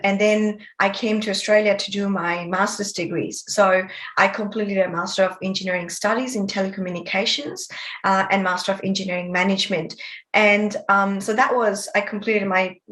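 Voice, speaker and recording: A woman's voice: medium pitch, fairly bright tone. A woman in her thirties from Nepal, a guest answering interview questions in a friendly way, with many fillers and restarts. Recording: roomy echo.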